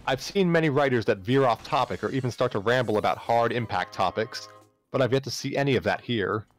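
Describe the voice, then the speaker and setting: A man's voice: medium pitch, brisk, lightly acted. A man playing a kindly, formal male university teaching assistant, earnest and encouraging, in a story reading. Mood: pleased, encouraging. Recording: music playing behind the voice.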